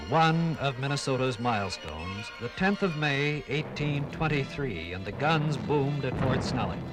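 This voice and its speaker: Casting: male narrator for a vintage radio history documentary, dramatic measured phrasing, music backing. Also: mid-range voice, low-quality recording.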